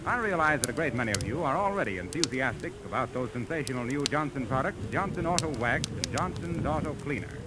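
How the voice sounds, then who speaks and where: An American man reading a sponsor's pitch as a 1930s radio announcer, polished, formal and persuasive. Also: sing-song, fast, few pauses. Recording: noisy vintage 1930s radio recording.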